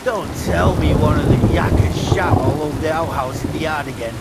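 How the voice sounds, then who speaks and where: A man's voice: fairly high pitch, normal speed, very noisy, lightly acted. An actor playing a rude, arrogant medieval mine foreman in a video game. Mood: gruff. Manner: rude and dismissive. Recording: game audio with loud rain and thunder.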